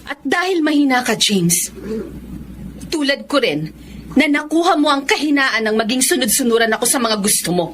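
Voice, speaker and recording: Casting: wronged Filipina wife, resolute, highish voice, emphatic melodrama delivery, slight background noise. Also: female voice, animated, moderate pace, a bit bright. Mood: defiant, hurt. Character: wronged wife Samantha, resolute, standing up to abusive husband. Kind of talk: Filipino radio-style melodrama acting.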